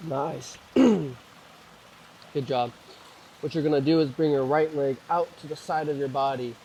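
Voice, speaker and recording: A man leading a guided mobility flow, calmly cueing each move and breath, his voice slightly hoarse as he recovers from a cough. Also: on the high side, measured pace, slight background noise, rough recording. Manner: calm, gentle cueing of moves and breaths. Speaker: mobility and stretching instructor.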